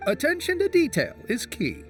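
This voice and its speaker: An actor playing a fussy, excitable small forest creature who stammers eagerly and defends himself, in a game cutscene. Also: male voice, very high voice, normal speed, heavily acted. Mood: flustered. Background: music.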